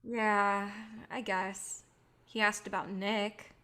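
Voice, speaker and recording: A woman's voice: medium pitch, level tone, takes it slowly, pushed and bright. A woman playing an idealistic, patient filmmaker in a staged play reading, speaking in an earnest, coaxing way. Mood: casual.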